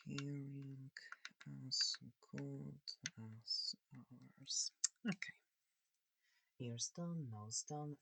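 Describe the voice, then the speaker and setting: A soft-spoken ASMR performer of unclear sex playing a curious, gentle alien examiner, halting and clinical yet reassuring, breathy and often whispering close to the microphone. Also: medium pitch, rough recording. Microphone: close, dry microphone.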